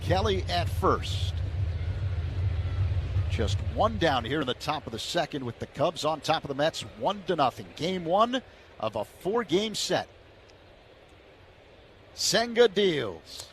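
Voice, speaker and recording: A man with a fairly high voice calling baseball play-by-play for radio. He keeps an even, measured pace and lifts his voice when the action builds. Faint noise sits under the broadcast. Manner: measured, rises with excitement on big plays. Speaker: play-by-play announcer.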